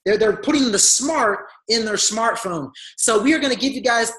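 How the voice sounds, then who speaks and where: A man with a raspy, hoarse voice from talking all day, giving an eager online sales pitch and often calling his listeners guys. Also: moderate pace, fairly bright tone, rough recording. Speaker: business opportunity presenter.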